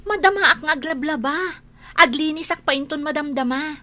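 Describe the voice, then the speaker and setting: A haughty young woman with an Ilocano accent and a high, piercing voice. She scolds with open irritation in radio drama style. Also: a little fast. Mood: annoyed. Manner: sharp, scolding delivery.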